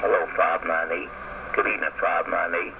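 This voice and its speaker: A man's voice: low voice, fairly even intonation, normal speed, creaky, highs cut off. A man on CB radio repeating his call sign and giving brief friendly sign-offs. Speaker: CB radio operator. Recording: static-heavy CB radio skip signal.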